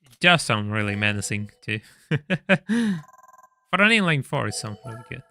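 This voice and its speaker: A man's voice: medium pitch, big pitch swings, a little slow, sharp tone. A young man from Argentina, chatting casually while streaming a racing game, laughing often and stammering over repeated words. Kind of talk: casual live-stream chat and gameplay commentary.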